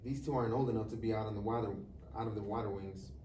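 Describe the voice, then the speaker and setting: A stern young border agent, played by a man at a table read, with a mellow middle-pitched voice. He is curt, suspicious and sarcastic, heard from a distance in an echoey room. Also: fairly even intonation, racing, lightly acted. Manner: curt, authoritative questioning. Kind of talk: live table read of comedy script. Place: live reading in a room. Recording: echoey room, distant mic, faint noise.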